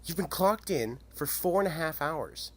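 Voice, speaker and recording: A man's voice: fairly high pitch, expressive, normal speed, a bit bright, slight background noise. A man playing an over-familiar, insistent office supervisor in a radio mystery drama, bossy and nagging.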